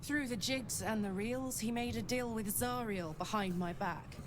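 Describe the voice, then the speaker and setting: A woman's voice: on the high side, measured pace, background noise, lightly acted. An actress playing a brash, hearty, streetwise warrior woman in a video game, telling things in frank, punchy, colloquial phrases. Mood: wry, candid. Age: 30s. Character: brash, hearty thirty-year-old female warrior, streetwise and candid.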